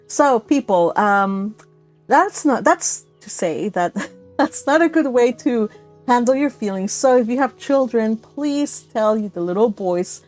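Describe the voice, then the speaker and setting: A millennial woman hosting a book read-along livestream, chatting casually with viewers in an animated, giggly, exclaiming way. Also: a little high. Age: 30s to early 40s. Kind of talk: casual live chat with viewers.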